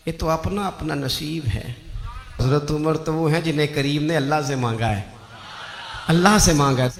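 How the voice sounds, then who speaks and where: A man with a Pakistani Punjabi accent preaching an impassioned Islamic sermon, telling devotional stories with rhetorical repetition and swings from hushed asides to loud cries. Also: a little high, slight background noise. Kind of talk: devotional sermon with storytelling and recited verse. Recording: echoing amplified voice.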